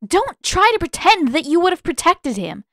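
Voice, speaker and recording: Storybook role: woman playing a defiant, indignant teenage girl, raised high pitch, sharp accusing delivery. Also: very animated, normal speed, dry recording, studio quality, heavily acted.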